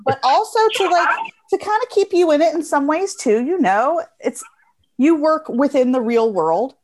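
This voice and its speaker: An American woman speaks in a casual, conversational interview style. Her voice is slightly higher than average. She is earnest and informal, and leans on repetition to make her points. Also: lively intonation, normal speed, a little mellow, slight background noise.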